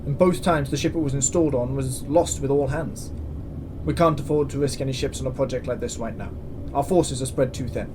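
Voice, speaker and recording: A man's voice: medium pitch, steady tone, fairly quick, natural voice. Man playing stern space station commandant, noisy ambience. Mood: measured, grave. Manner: formal, authoritative, dry. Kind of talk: science-fiction audio drama acting.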